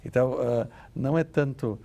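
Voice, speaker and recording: A man giving a deliberate, didactic explanation as an academic guest, with hesitant pauses. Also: medium pitch, mellow. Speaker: panel guest, academic commentator. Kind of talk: explanatory literary discussion.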